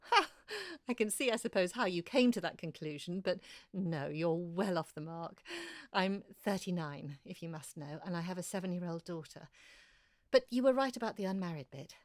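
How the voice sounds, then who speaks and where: An actress playing Hilary, a thirty-nine-year-old former museum curator and single mother who speaks in a proper, florid, brisk way. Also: lowish voice, dry recording, clean studio sound, natural voice. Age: 30s. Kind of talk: audio drama acting, epistolary.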